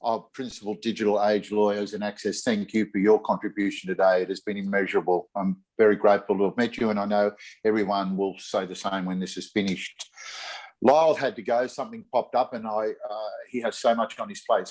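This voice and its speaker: A man's voice: fairly low pitch, darkish tone, low-quality recording. An older man moderating an online panel, thanking each guest in effusive, formal words over a video call.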